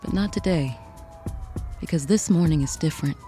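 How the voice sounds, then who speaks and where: A woman's voice: sits low, moderate pace, dark tone, soft background music. A woman reading scripted, dramatic story narration for a fiction podcast.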